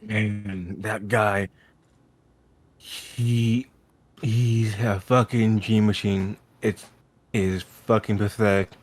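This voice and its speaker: A man acts out an awkward young troll pining in a webcomic reading. He speaks slowly and in a flat tone, stumbling over his words as he nervously tries to confess. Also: fairly low pitch. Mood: sullen, relieved. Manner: halting, stammering, swears. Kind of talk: amateur dramatic reading of a webcomic.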